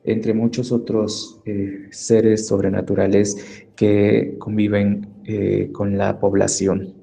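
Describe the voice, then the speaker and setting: A man from Mexico, a literature scholar, explaining in a measured podcast talk with frequent "eh" and "¿no?" tags. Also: on the low side, completely flat delivery, vocal fry, mellow.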